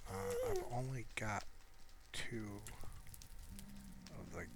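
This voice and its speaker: A man giving casual, unscripted commentary on his own gameplay in a low-key, halting mutter. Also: wildly swooping pitch, vocal fry, very noisy. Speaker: gamer narrating own gameplay.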